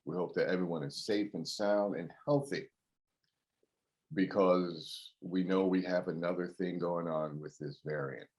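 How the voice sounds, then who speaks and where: A man's voice: low voice, steady tone, unhurried, darkish tone. A man hosting an interview show, chatty and friendly, laughing often. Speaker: host.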